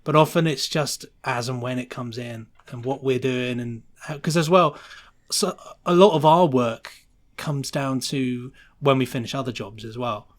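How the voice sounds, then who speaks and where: A man talks as a guest in a relaxed interview. He is enthusiastic and chatty, wandering through his thoughts as he goes, with a mid-pitched voice. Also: normal speed. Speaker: podcast guest, sound designer. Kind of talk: casual interview chat.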